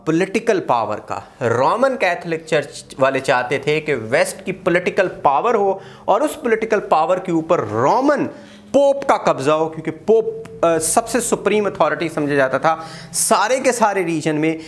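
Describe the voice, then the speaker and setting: Animated man lecturing at a whiteboard. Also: fairly high pitch, measured pace, slight background noise. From Pakistan. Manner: animated, gestures widely, repeats key dates, frequent "uh" fillers. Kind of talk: exam-prep history lecture. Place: small room in front of a whiteboard. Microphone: lapel mic.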